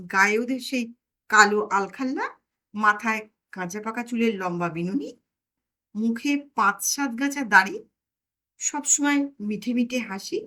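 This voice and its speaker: A woman narrating a comic audio story in an expressive, emphatic storytelling manner. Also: a little high.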